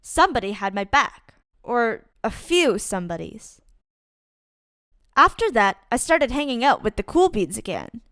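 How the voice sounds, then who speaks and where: A woman reading a children's picture book aloud as a storyteller, in an expressive, deliberate and dramatic way. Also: a little high, dry recording, studio quality. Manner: deliberate, dramatic delivery with acted-out exclamations. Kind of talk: expressive read-aloud storytime narration for kids.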